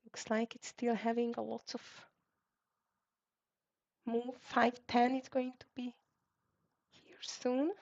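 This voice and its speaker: A woman's voice: a little high, level tone, takes it slowly, gravelly, long pauses, darkish tone. Hungarian lady calling trades live, short choppy ticker callouts, muffled lo-fi audio. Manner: clipped ticker callouts with fillers. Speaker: live trading stream host. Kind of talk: live market commentary. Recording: dull lo-fi stream audio.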